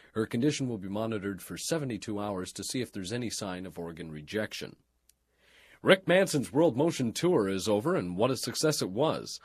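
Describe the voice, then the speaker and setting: A man reading a scripted radio news and sports bulletin in a formal, measured broadcast delivery. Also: on the low side, husky, dry recording, clean studio sound.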